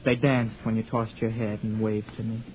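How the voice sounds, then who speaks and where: An actor voicing a hesitant, soft-spoken young man who narrates wistfully, in a tinny 1940s radio drama. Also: lowish voice, flat delivery, moderate pace, a little hiss or hum, close to an everyday voice. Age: young adult. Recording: tinny 1940s radio broadcast with music behind.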